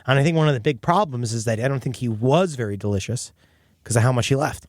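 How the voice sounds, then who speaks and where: A man's voice: medium pitch, quick pace, darkish tone, dry recording, clean studio sound. A man co-hosting a comedy podcast, riffing casually and often repeating his phrases. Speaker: podcast co-host. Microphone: close studio podcast mic.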